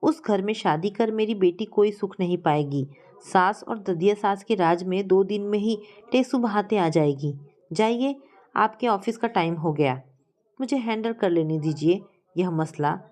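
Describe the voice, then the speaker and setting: Woman narrator as imperious middle-aged mother in an audio story, scolding, mid-pitched, laying down the law. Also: measured pace, a little mellow, natural voice. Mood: dismissive.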